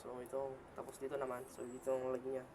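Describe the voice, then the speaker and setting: A Filipino man talks through a product in a casual unboxing style. His mid-pitched voice moves little in melody and comes haltingly, mixed with English phrases. The amateur recording carries clear background noise. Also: completely flat delivery. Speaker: vlogger and product reviewer.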